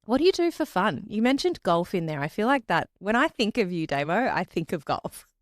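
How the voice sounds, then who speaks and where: A woman hosting an interview, asking questions in an encouraging way and murmuring soft acknowledgements. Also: mid-range voice, big pitch swings, a little fast, dry recording, clean studio sound. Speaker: podcast host. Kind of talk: interview host.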